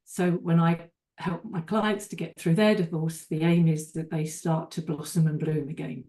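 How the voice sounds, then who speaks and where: A woman's voice: low voice, steady tone, normal speed, warm tone. An older woman, a divorce coach, giving an earnest webinar presentation with small restarts.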